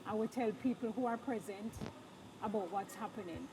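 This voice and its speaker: A woman's voice: mid-range voice, normal speed. A woman hosting a live outdoor event broadcast, upbeat and prompting her co-host with questions. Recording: crowd noise behind. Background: crowd chatter.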